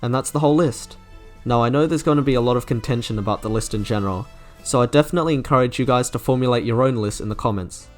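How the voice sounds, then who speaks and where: A man delivers scripted review narration in the manner of an online video creator. His pitch is medium and his delivery is enthusiastic and opinionated. Music plays softly behind him. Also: fast. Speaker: video creator reviewing game character trailers.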